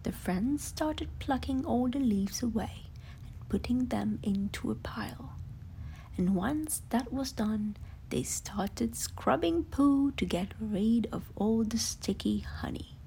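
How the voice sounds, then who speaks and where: A woman telling a bedtime story in a hushed, soothing whisper. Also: fairly high pitch, lively intonation, takes it slowly, vocal fry, a little hiss or hum, poor audio.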